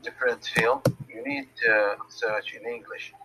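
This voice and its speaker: A man's voice: medium pitch, steady tone, a little slow, dull audio, noisy background. Hesitant man. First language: Arabic. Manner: hesitant, many restarts and fillers. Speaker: English learner. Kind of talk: casual conversation practice.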